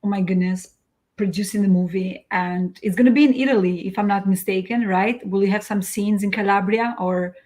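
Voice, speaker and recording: A woman from Albania hosting a casual live interview, telling a story in an animated way and laughing readily. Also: medium pitch, brisk. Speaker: host.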